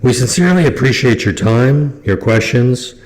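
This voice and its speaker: A man's voice: mid-range voice, lively intonation, normal speed, poor audio. A man speaking as a chief executive, giving courteous, formal prepared remarks.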